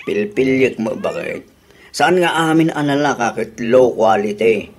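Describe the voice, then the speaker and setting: A man's voice: medium pitch, unhurried, natural voice. Radio play guy, cheapskate husband who chuckles and gets defensive, a man doing him. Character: thrifty husband proud of bargains. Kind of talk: radio drama acting.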